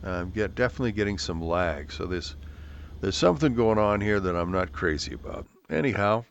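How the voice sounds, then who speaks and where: A man's voice: animated, brisk, vocal fry, lo-fi sound, slight background noise. A man, chatting casually and self-deprecatingly while flying a VR flight sim at home. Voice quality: deep voice. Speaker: flight sim video host.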